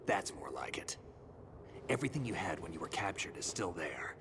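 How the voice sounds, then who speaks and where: An actor playing a terse, stoic male veteran soldier in a game cutscene, speaking in a low, gravelly half-whisper. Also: big pitch swings, moderate pace. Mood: gruff. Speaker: game character. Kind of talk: video game cutscene voice acting. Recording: game audio with ambient noise.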